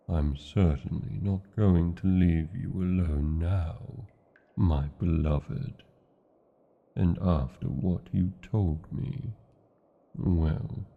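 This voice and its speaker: A British man playing a tender, brooding dragon lover in a romantic fantasy ASMR roleplay, speaking formally with old-fashioned endearments in a gravelly, husky voice. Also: sits low, full character voice. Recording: muffled, narrow phone-like sound.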